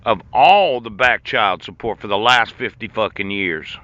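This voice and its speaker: A man's voice: lowish voice, expressive, a little slow, bright, forceful tone, no room sound. A man in his fifties ranting in a sarcastic monologue, laughing between lines and swearing. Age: 50s. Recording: dull lo-fi recording.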